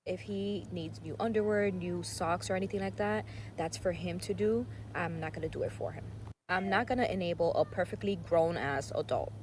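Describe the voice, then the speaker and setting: Young woman, lowish voice, blunt and firm, enumerating rules, social media clip, faint background traffic noise. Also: normal speed. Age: young adult. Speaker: social media content creator. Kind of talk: listing personal rules to camera.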